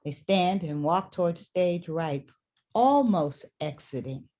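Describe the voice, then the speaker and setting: Low-voiced woman reading stage directions. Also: lively intonation, slow, warm tone. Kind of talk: scripted narration for a staged reading.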